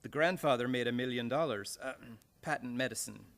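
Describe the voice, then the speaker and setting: A man's voice: mid-range voice, fairly quick, fairly bright tone, lightly acted. An actor playing an amiable, plain-spoken neighborhood policeman in an old-time radio comedy. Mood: good-natured. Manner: plain-spoken, good-natured.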